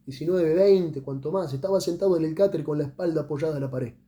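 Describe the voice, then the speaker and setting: A man reads a literary short story aloud in a dramatic way, letting phrases trail off into pauses. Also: on the high side, warm tone. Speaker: audiobook reader.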